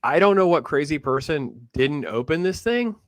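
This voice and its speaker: A man's voice: a little high, lively intonation, moderate pace, no echo. A middle-aged man hosting a livestream in a casual, conversational style. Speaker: livestream host.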